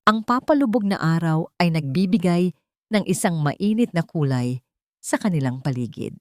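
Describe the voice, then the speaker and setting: A woman's voice: fairly low pitch, lively intonation, normal speed, no room sound, studio quality. A woman reading a scripted dramatic story as its narrator.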